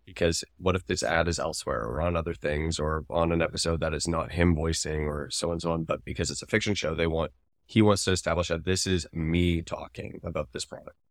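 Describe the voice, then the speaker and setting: A man with a notably low voice co-hosts a podcast. He talks casually and analytically, explaining his view in a conversational way. The sound is dry, with little room on it. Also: steady tone, fairly quick, no echo. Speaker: podcast co-host.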